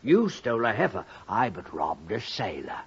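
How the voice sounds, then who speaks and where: An actor playing a wily condemned thief, wheedling and scheming in a raspy voice, in a 1950s radio drama. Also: male voice, fairly low pitch, huge pitch swings, moderate pace, full character voice. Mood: sly menace. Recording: muffled vintage 1950s radio recording.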